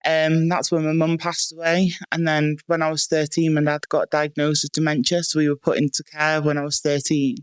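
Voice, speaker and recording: Flat-toned Welsh woman in her twenties. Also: sits low, brisk, hardly any pauses, smooth, dry recording. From North Wales. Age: 20s. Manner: relaxed, matter-of-fact, frequent ums and likes. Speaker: guest, strongwoman athlete. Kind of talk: casual podcast interview. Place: small dry room in a house.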